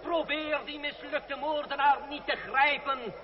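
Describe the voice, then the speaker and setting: An actor playing a daring, sardonic young revolutionary in a radio play, declaiming in a rousing way. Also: male voice, very high voice, fairly even intonation, unhurried. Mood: rousing, declamatory. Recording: dull noisy old radio recording. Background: outdoor crowd clamour.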